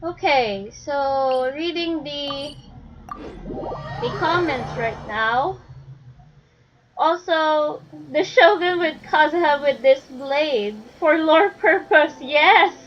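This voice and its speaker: A woman's voice: high voice, lively intonation, takes it slowly, highs cut off, noisy background. A woman livestreaming a video game with casual commentary, murmuring short reactions and trailing off. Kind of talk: casual live gaming commentary. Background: game music.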